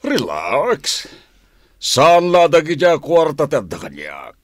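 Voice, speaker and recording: An actor with a Filipino accent playing a smug, scheming crime boss with his sweetheart in a radio drama, self-assured and expressive. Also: fairly high pitch, takes it slowly, no echo, studio quality, heavily acted. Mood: reassuring.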